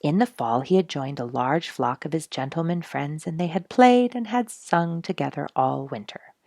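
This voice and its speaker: A woman reading a children's story aloud as narrator, with expressive storybook phrasing. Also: low-pitched, normal speed, vocal fry, dry recording, studio quality.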